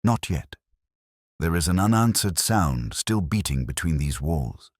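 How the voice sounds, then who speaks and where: A man acts out a shrewd Victorian detective from a mystery audiobook. He is cool and commanding, with a very deep, dryly recorded voice and cryptic, deliberate phrasing. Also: sing-song, dry recording, clean studio sound, exaggerated voice.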